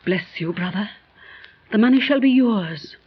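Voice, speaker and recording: A woman's voice: medium pitch, lively intonation, measured pace, slightly rough. An actress playing a solemn woman in theatrical 1930s radio style. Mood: grateful, solemn. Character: solemn, grateful woman pleading for the dead. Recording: muffled 1930s radio recording.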